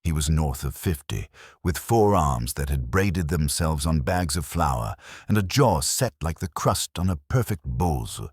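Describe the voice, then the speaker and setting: A man with a gravelly, husky voice, narrating a scripted detective story in a wry noir manner. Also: a bass voice, animated, a little slow, dry recording, studio quality. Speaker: audiobook narrator.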